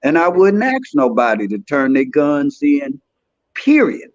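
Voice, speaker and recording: A man's voice: a little high, sing-song, unhurried, no echo. A man in his sixties, a podcast guest speaking in an earnest, streetwise, plain-spoken way in casual conversation. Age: 60s. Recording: dry, dull lo-fi sound.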